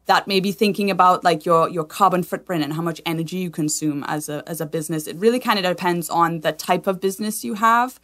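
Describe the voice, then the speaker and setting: A woman's voice: lowish voice, fairly quick, few pauses, fairly bright tone. A woman from Trinidad and Tobago explaining things conversationally as an expert interview guest. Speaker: guest, sustainability consultant.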